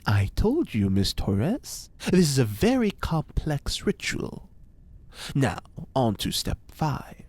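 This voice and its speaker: A man performs an eccentric occult scientist for a science fiction audio drama. He is pompous and theatrical, phrasing everything with formal precision and dramatic pauses. His mid-pitched voice swings widely up and down. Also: wildly swooping pitch, a little mellow, husky, full character voice. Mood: grave, instructive. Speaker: fictional scientist being interviewed.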